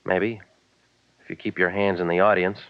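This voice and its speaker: An actor playing a cynical, wisecracking American private eye, deadpan and weary, in 1940s radio noir style. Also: male voice, sits low, moderate pace, clean tone, no echo, highs cut off, close to an everyday voice. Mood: cool, probing. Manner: deadpan, sardonic. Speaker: lead investigator and narrator. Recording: thin, band-limited old radio recording.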